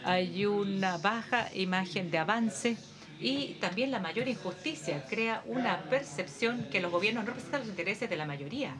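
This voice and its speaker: A woman giving a simultaneous interpretation of a formal conference speech in a steady, measured delivery with occasional hesitations. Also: medium pitch, crowd noise. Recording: audience murmur beneath the voice.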